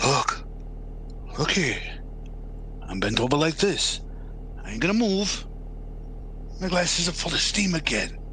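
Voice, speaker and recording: A man's voice: on the high side, sing-song, a little slow, pauses often, sharp tone, exaggerated voice. A man playing a nervous, reluctant working-class morgue attendant in an old-time radio drama, colloquial and stammering. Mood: alarmed. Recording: noisy, humming background.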